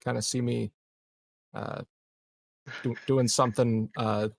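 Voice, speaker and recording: A man playing a tabletop game, chatting casually in a halting way with repeated words. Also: medium pitch, flat delivery, gravelly, no echo. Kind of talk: casual chat.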